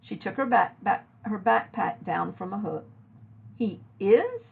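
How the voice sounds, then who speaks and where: A woman reading a children's novel aloud in an expressive narrating style, like a teacher reading to a class. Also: medium pitch, moderate pace, vocal fry, husky. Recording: narrow, phone-like lo-fi audio.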